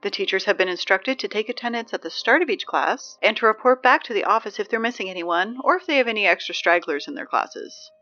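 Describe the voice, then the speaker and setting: A woman playing an anxious, wry high school girl reading morning announcements in an audio drama, rambling with sardonic, hesitant asides. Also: expressive, fast, hardly any pauses, bright, forceful tone, highs cut off, faint music behind. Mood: wry, grudging.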